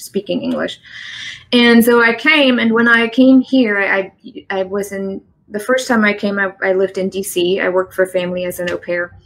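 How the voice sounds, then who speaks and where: A woman with a German accent speaks at a medium, everyday pitch. She talks in an earnest, reflective way, often pausing on fillers and laughing lightly, as a guest on an online live show. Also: poor audio. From East Germany. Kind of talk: conversational interview. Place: home in the Midwest. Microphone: online live stream.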